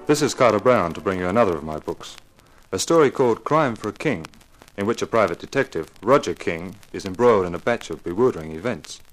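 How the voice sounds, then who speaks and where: A man's voice: fairly low pitch, lively intonation, fairly quick, faint music behind, lightly acted, rough recording. An actor playing a mystery author who introduces his story in a polished, scripted 1950s radio style. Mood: genial introduction. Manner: polished, presentational.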